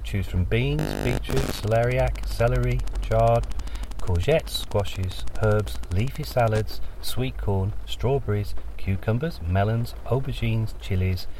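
A man's voice: fairly low pitch, slow, mellow, poor audio. A man reading out a scripted advertising list, with faint noise behind. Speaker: sponsor message reader. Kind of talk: scripted advertising read, listing products.

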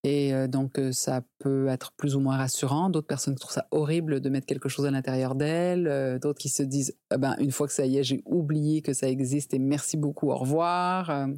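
A woman's voice: extremely low pitch, steady tone, fairly quick, hardly any pauses, dark tone, no echo, studio quality. A woman in her forties explaining things conversationally as an interview guest, emphatic and given to acting out little quotes. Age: 40s. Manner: emphatic, illustrates points with mimicked quotes. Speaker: interview guest, reproductive health specialist.

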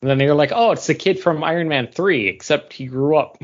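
A man's voice: a little high, fairly quick, dull audio. A man co-hosting a podcast, talking in a casual, conversational style. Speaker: podcast co-host. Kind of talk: casual chat.

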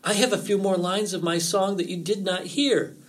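A man voicing a sly male fox in an animal folktale, feigning worry with theatrical, drawn-out pleas and warnings. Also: high-pitched, fairly even intonation, pitched above the performer's own voice, natural voice.

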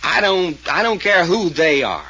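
A good-natured, excitable detective in a 1940s radio serial. His talk is folksy and ungrammatical, with a bright edge and big swings in pitch. The old recording is slightly dull. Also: normal speed, husky, heavily acted. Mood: folksy, puzzled. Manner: folksy, ungrammatical, stammering when flustered.

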